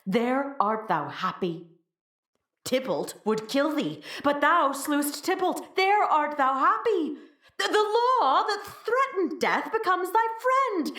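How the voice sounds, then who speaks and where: A woman's voice: sits high, bright, forceful tone, heavily acted. Woman playing a stern friar, echoey stage. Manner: declamatory, emphatic. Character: stern, fatherly friar scolding and counselling, male role. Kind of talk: classical Shakespearean stage acting. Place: indoor performance space.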